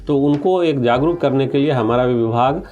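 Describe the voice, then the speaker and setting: A man's voice: mid-range voice, normal speed, darkish tone, dull audio. A man answers as an official in an interview, explaining point by point with some English terms mixed in. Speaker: government official, interview guest.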